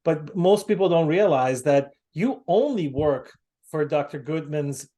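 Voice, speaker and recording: A man from the United States hosting a casual video call, talking haltingly with many fillers and restarts. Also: on the high side, animated, mellow. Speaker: host.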